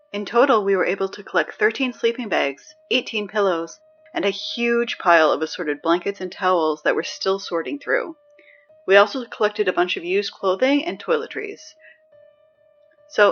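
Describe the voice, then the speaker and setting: A woman playing a weary, sardonic high school morning announcer in a scripted audio drama. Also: muffled recording, close to an everyday voice. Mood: appreciative. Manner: sardonic, deadpan, exasperated asides.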